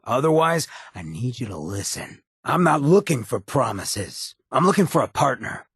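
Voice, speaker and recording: An actor playing a swaggering, sardonic but loyal male ghoul rebel in a video game, with a very raspy, gravelly American voice and a streetwise, folksy drawl. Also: medium pitch, very animated, normal speed, dry recording, clean studio sound, full character voice. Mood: stern, fed up. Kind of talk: video game companion voice acting.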